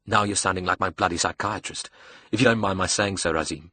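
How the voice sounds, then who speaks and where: A man's voice: deep voice, quick pace, sharp tone, husky, full character voice. A man voicing a cocky, sadistic young villain in an audiobook, brash and sarcastic, with a South African accent. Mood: seething. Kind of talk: audiobook character dialogue.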